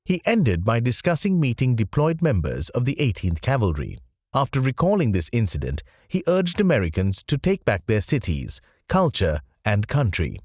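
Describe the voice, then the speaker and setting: A man reading scripted nonfiction audiobook narration in a measured, clearly enunciated way. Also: medium pitch, wildly swooping pitch, a little mellow, no room sound, studio quality.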